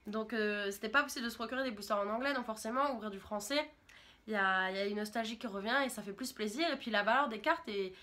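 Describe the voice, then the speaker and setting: A woman's voice: mid-range voice, fast talker, pushed and bright. A French woman in her twenties, a YouTuber talking in a chatty, rambling, enthusiastic way in a casual vlog monologue. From France.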